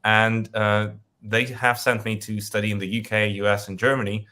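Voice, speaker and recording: A man's voice: fairly low pitch, little pitch movement, moderate pace, pushed and bright. A man from Russia, presenting his company in a webinar with frequent hesitations and fillers.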